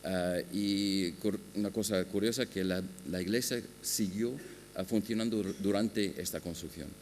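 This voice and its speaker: A man giving a conference talk on a panel, speaking haltingly with many filler sounds and restarts. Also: low voice, fairly even intonation, a little mellow. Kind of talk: conference presentation. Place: large conference hall. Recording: long hall reverb with faint hum.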